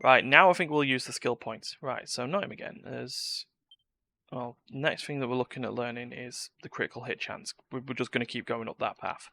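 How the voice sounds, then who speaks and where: A man's voice: mid-range voice, moderate pace, vocal fry, bright, forceful tone. A man giving live gameplay commentary, talking to himself and reacting as he plays. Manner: muttering to himself and reacting to play. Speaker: game streamer. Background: game music.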